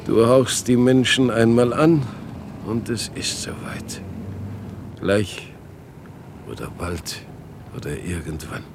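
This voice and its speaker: An actor playing a frail old father waiting for his lost son in a radio play, halting and swinging between murmurs and outbursts. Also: lowish voice, animated, background noise. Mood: knowing, resigned. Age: older. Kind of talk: German radio play acting.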